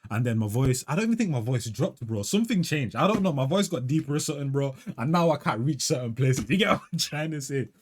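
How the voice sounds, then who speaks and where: Young guy, mid-pitched, reaction host, casual slangy musing, keeps addressing the viewer. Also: animated, fast, few pauses, slight background noise. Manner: slangy, musing, tags lines with "fam" and "bro".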